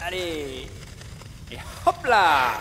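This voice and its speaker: A woman's voice: sits low, drawn-out words, big-room sound, noisy background, exaggerated voice. Swooping, indignant accident-prone holidaymaker lad acted by a woman. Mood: cocky. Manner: excitable, protesting. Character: stubborn, reckless, indignant young male holidaymaker, accident-prone. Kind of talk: scripted comedic audio drama.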